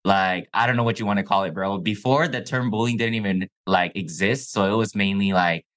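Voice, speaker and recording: A young man from Mexico whose first language is Chinese, telling his life story as a podcast guest in a relaxed, slangy way. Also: fairly low pitch, brisk. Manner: laid-back, says "bro" and "like" often. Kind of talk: casual interview storytelling.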